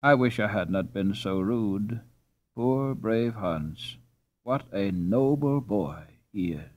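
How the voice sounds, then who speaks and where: A man's voice: lowish voice, expressive, takes it slowly, highs cut off. A man voicing a kind, gaily teasing young Dutch girl who pleads sweetly in a storybook. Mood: regretful. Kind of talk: storybook character reading.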